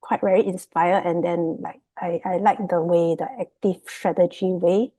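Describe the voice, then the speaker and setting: A woman's voice: lowish voice, steady tone, moderate pace, mellow, dry recording. A woman from Singapore with a Singaporean accent, giving a casual, unscripted presentation in a hesitant, loosely phrased way as a guest. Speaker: guest, property investment student. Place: remote video call.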